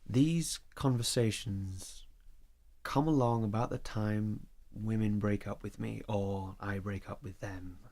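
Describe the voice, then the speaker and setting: Reserved loner boyfriend, slightly deep voice, measured and matter of fact, audio drama. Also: male voice, darkish tone. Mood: defensive, pained. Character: guarded, solitary man, ambivalent about love.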